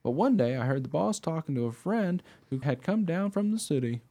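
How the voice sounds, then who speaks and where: A man's voice: a little high, expressive, brisk, warm tone. A man playing an honest pet dog who tells his own tale in a radio play, earnest and plain-spoken. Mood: wry, puzzled. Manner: plain-spoken, artless storytelling. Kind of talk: first-person radio play narration.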